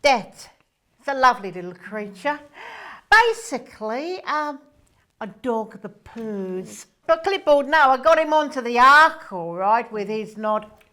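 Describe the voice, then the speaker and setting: High, slow, lilting comic angel. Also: female voice, sing-song, a bit bright, exaggerated voice. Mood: wry storytelling. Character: breezy, fussing female angel Gabrielle, Saint Peter's sidekick. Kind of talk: comedy stage play dialogue.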